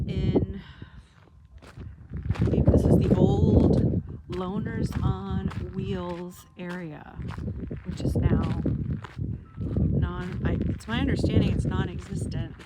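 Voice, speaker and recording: Slow-talking woman in her fifties. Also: medium pitch, little pitch movement, heavy background noise. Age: 50s. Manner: rambling, reminiscing, self-correcting. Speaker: vlogger. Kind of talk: casual walking vlog. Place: outdoors in the desert.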